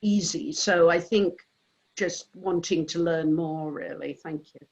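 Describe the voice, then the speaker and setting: A woman from the UK speaking as an attendee on a video call, measured and reflective. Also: fairly low pitch. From United Kingdom. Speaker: attendee, past association president. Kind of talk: casual remarks on a video call.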